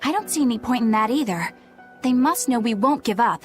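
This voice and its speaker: A woman's voice: fairly high pitch, animated, fairly quick, soft background music, lightly acted. A woman playing earnest young exorcist Eleanor. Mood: serious. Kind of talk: game dialogue dub. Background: game score.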